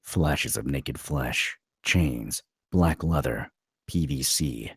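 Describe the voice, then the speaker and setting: A man narrating a screenplay at a table read, with a gravelly, husky voice. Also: extremely low pitch, slow, fairly bright tone, dry recording, clean studio sound.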